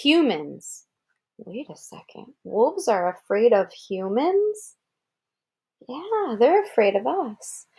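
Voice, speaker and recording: A woman's voice: on the high side, very animated, slow, warm tone, husky. An American woman reading a children's article aloud like a parent, expressively, with explanatory asides and questions to check understanding.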